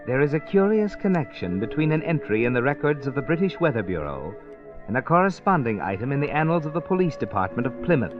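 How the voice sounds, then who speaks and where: An actor playing a mysterious, ominous storyteller with portentous, theatrical delivery in 1940s radio mystery style. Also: male voice, mid-range voice, very animated, lightly acted. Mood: measured, foreboding. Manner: slow-building, portentous, theatrical. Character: ominous, mysterious male night-walking storyteller. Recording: dull vintage radio recording. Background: music.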